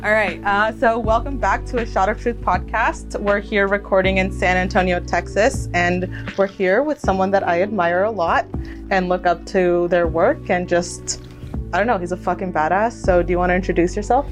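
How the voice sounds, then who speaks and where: A woman hosting a podcast, talking loosely and casually at a middling pitch. She swears freely, laughs easily, and keeps the talk relaxed and friendly. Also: moderate pace, over music. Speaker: podcast host.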